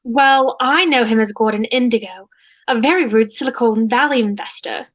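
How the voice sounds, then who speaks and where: A woman's voice: on the high side, moderate pace, close to an everyday voice. A woman playing a vain, self-important society lady in an amateur comedy table read. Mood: haughty curiosity.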